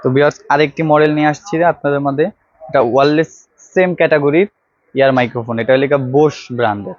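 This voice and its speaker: A man with a Bangladeshi accent and a slightly high voice gives an informal product demonstration. He talks straight to viewers like a shop salesman. The clip-on mic sound is dry and a little dull. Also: steady tone, fast talker, no room sound. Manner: salesman-like, addressing viewers directly. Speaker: electronics shop vlog presenter. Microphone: clip-on wired lapel microphone.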